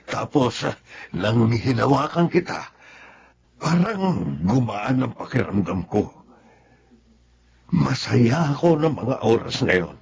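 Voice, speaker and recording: A man acting in a Tagalog radio drama as a devoted, sentimental father, speaking earnestly and from the heart in a husky, gravelly voice. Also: mid-range voice, sing-song, slow, long pauses, darkish tone, exaggerated voice. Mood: nostalgic, tender.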